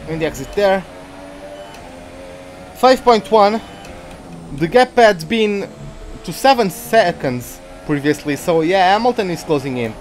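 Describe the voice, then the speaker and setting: A man's voice: high voice, expressive, measured pace, pauses often, faint music behind. A man from Portugal with a Portuguese accent, chatting and rambling as he streams live commentary on a racing game. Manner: chatty, rambling, easily distracted. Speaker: gaming streamer.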